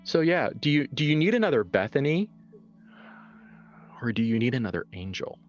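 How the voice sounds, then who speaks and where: A man improvising tabletop horror narration as game master in a conversational, halting way, reworking his phrases as he goes. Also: mid-range voice, big pitch swings. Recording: muffled lo-fi sound, music behind. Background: background music.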